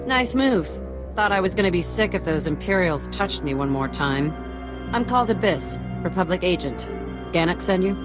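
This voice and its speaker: A woman's voice: on the low side, lively intonation, normal speed, close to an everyday voice. A woman playing a tough young Republic agent in a video game, brash, blunt and urgent. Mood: brisk, urgent. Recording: music behind the voice.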